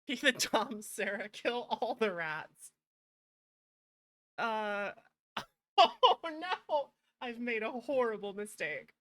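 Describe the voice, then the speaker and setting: A woman's voice: fairly high pitch, expressive, takes it slowly, long pauses, a bit bright, dry recording. A woman chatting casually on a livestream in a playful way, swearing freely and muttering to herself. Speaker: streamer, VTuber host.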